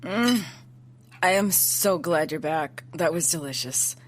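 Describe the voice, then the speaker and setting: A woman's voice: fairly low pitch, slow pace, a little hiss or hum. An actress playing a wry, playful young woman who talks informally and sarcastically in an audio drama. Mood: contented, teasing. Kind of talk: naturalistic audio drama dialogue.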